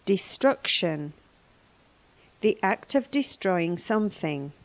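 A woman's voice: mid-range voice, expressive, slow pace, no echo, highs cut off. A woman giving scripted lesson narration, reading vocabulary and a news report. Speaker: language lesson presenter.

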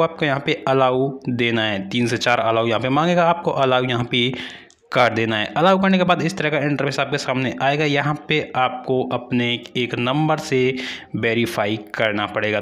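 A man's voice: medium pitch, normal speed, fairly bright tone. An Indian man hosting a tech channel, giving a step-by-step app tutorial in voice-over and addressing viewers directly as friends.

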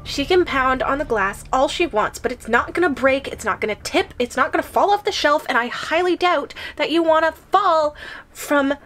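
A woman's voice: sits high, lively intonation, normal speed, fairly bright tone. A woman voicing a possessive yandere giantess in an ASMR roleplay, coy and giggly, with hesitant menace. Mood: controlling, menacing.

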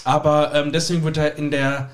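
Higher-pitched man chatting as podcast guest. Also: level tone, fairly quick. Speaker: podcast guest, stage magician. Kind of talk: casual chat, anecdotes.

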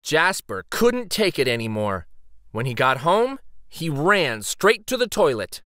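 A man narrates a children's story in a dramatic read-aloud style. He takes his time, leaving theatrical pauses for suspense. His pitch moves widely, and the sound is dry. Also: very animated, fairly bright tone, no echo. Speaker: picture book read-aloud host.

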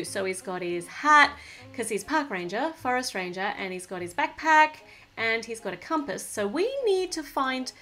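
A woman's voice: high-pitched, fairly bright tone. A woman casually narrating a toy build at a table, thinking aloud and gushing over the cute little details.

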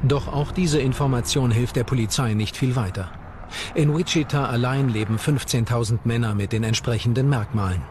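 A man's voice: mid-range voice, normal speed, background noise. A man reading scripted true-crime documentary narration. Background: background music.

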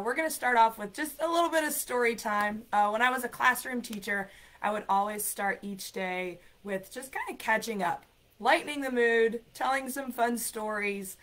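Raspy-voiced chatty woman with a cold. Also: a little high. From United States. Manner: animated, chatty and playful. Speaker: ESL program coordinator and show host. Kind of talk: livestream talk and storytelling. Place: decorated home room. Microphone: laptop webcam, video call framing.

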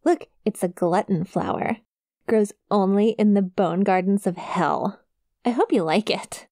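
A woman's voice: medium pitch, measured pace, a little mellow, no echo, clean studio sound, natural voice. A woman playing a friendly, awkward young succubus demon in an intimate ASMR roleplay, with a shy, casual, hesitant manner. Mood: shyly excited. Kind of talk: ASMR roleplay, intimate one-sided conversation to the listener.

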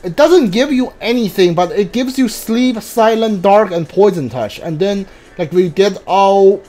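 Animated gamer thinking aloud over game music. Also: medium pitch, unhurried. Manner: thinking aloud, muttering reactions. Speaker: video game streamer. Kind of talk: casual playthrough commentary.

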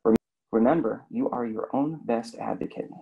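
A man's voice: mid-range voice, moderate pace, creaky, dark tone, husky, dull audio. A man reading a scripted health lesson for a clinic slideshow, explaining steadily and putting questions to the listener.

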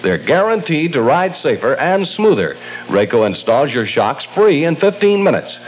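Male American radio host, circa 1960, animated announcer read, mid pitch, lo-fi AM tape. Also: moderate pace. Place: radio studio. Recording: lo-fi 1960 AM radio aircheck, faint hum.

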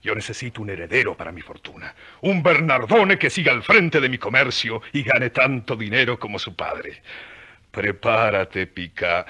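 An actor playing a proud, hot-tempered rich merchant in a radio drama, domineering and emphatic, with a husky voice. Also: male voice, mid-range voice, bright, forceful tone.

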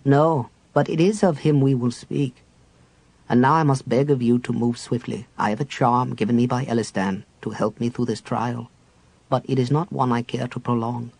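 A man voicing a proud, reserved male dark elf mage who speaks low, quiet and measured. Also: fairly even intonation, creaky, no echo, poor audio.

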